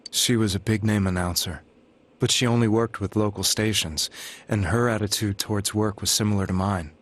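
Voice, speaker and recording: An actor playing an earnest, guilt-ridden delivery man in a video game, speaking in a halting, solemn, confessional way. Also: lowish voice, steady tone, lightly acted. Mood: somber, reflective. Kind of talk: dramatic video game voice acting. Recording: music behind the voice.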